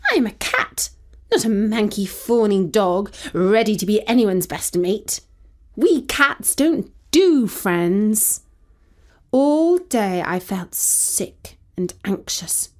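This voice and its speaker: A woman's voice: mid-range voice, animated, slow, darkish tone. A woman voicing a proud, vain magical cat narrating a children's story, with a haughty, dramatic manner. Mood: indignant.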